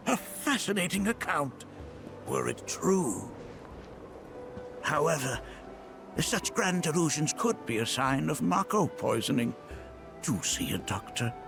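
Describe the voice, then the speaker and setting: A man playing a genial, learned elderly scholar in a video game, speaking in a courtly, grandfatherly way with a creaky aged voice. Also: a little high, big pitch swings, takes it slowly, long pauses, exaggerated voice. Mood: skeptical. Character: genial elderly male planetology scholar, chuckling, eccentric and learned. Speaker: teacher. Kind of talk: video game cutscene acting. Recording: music behind the voice.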